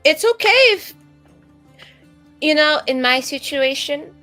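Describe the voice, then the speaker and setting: High, animated woman in casual roleplay. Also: slow. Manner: rambling and conversational, heavy use of like. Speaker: tabletop roleplaying player. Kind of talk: improvised in-character casual dialogue. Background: music.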